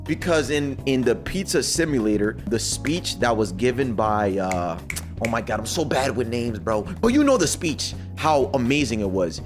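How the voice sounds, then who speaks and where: A man giving casual, slangy reaction commentary as a streamer, conversational and quick to exclaim. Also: mid-range voice, very animated, over music. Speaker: streamer reacting to game voice lines.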